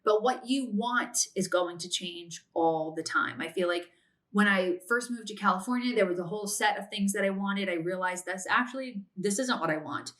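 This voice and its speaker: A woman's voice: mid-range voice, normal speed, fairly bright tone. Chatty podcaster woman in her late twenties. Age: 20s. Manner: chatty, frequent "like" and "if that makes sense". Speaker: podcast host. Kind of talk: casual advice monologue.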